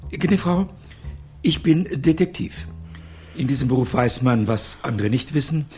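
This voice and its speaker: A man's voice: medium pitch, lively intonation, moderate pace, darkish tone, faint music behind, natural voice. A man playing a shabby older private detective in a radio crime drama, courtly and servile with hesitant phrasing. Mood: ingratiating.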